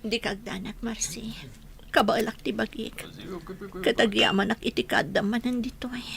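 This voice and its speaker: A woman's voice: a little high, fairly even intonation, normal speed, gravelly, fairly bright tone. A woman playing a devoted, grieving wife in a radio drama, with a tearful, emotional delivery. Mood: subdued grief.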